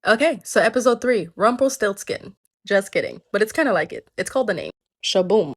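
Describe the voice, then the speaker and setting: A woman's voice: mid-range voice, animated, a little fast. A woman telling folktales in a casual, chatty way, tossing in joking asides to listeners. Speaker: storyteller.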